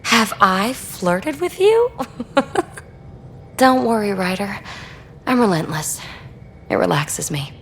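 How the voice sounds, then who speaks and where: A woman's voice: mid-range voice, very animated, takes it slowly, long pauses, fairly bright tone, slight background noise, heavily acted. A woman playing a wry, flirty crew member of a space expedition in a video game, with a breezy, conversational delivery. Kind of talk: video game dialogue acting.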